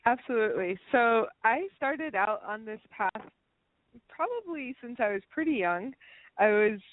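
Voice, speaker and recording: A woman in her thirties, a naturopathic doctor, telling her story as a guest in a relaxed, conversational way. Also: fairly high pitch, a little slow, no room sound. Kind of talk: radio interview. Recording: dry phone-like audio.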